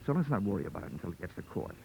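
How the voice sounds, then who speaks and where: Wry hard-boiled American radio detective. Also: male voice, deep voice, racing, creaky, lo-fi sound, a little hiss or hum, full character voice. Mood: dry, calm. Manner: dry wit, deadpan. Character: wry, hard-boiled male insurance investigator. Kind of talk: 1940s radio drama, first-person detective narration. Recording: old 1940s radio broadcast recording.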